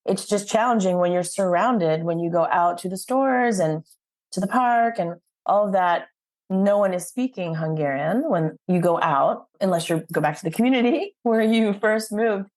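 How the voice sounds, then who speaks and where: A woman with a medium-pitched voice interviews as a podcast host. She speaks conversationally, offering affirmation and recaps as she leads into questions. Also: moderate pace, a little mellow. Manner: affirming, sums up before asking questions.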